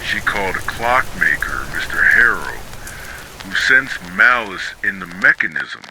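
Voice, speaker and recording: A man reading scripted ghost stories in a measured, dramatic storytelling delivery. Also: low-pitched, very animated, background noise. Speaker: horror story narrator.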